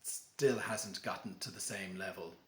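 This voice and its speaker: Low-voiced Irish man, casual talk. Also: measured pace, reverberant. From Ireland. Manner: relaxed, many fillers and restarts. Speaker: book channel host. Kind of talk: casual talk and poetry reading.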